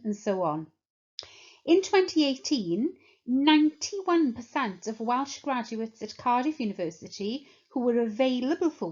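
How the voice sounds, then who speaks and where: A woman teacher giving a measured talk that explains a course to prospective students. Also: fairly high pitch, a little mellow, lo-fi sound. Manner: measured, explanatory, lists points slowly.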